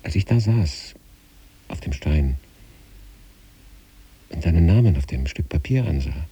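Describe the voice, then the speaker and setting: A man reads literary first-person narration in a measured, contemplative way. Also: very deep voice, expressive, mellow, slightly rough. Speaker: narrator reading a literary story.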